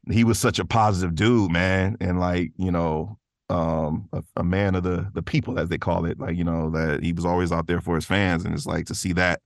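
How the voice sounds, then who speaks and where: A man's voice: low-pitched, fairly even intonation, moderate pace, gravelly, dry recording, studio quality. A man chatting casually as an interview guest, rambling and enthusiastic, often saying "man" and "you know". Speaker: podcast guest, voice actor.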